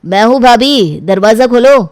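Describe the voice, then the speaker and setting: A woman acts out a young man, a scheming brother-in-law in an audio story. The delivery is insinuating and scornful, with a soft dark tone and a dry, close sound. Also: a little high, animated, measured pace, no echo, voice pushed up. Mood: casual. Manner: insinuating, taunting, pushy.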